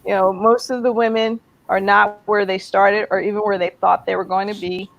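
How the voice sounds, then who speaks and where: A woman's voice: medium pitch, level tone, moderate pace, gravelly, muffled recording. A woman hosting a casual live interview, chatty and enthusiastic, with lots of fillers. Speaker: host.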